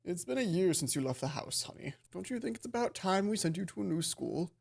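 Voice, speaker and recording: A woman voicing a gentle, concerned father, softly coaxing his child. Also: fairly low pitch, lively intonation, brisk, gravelly, slightly rough, exaggerated voice. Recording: background game music.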